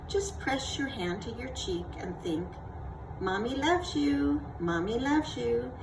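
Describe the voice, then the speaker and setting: Gentle, unhurried mother raccoon voiced by a woman. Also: medium pitch, background noise. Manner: gentle, soothing. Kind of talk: children's storybook character voice.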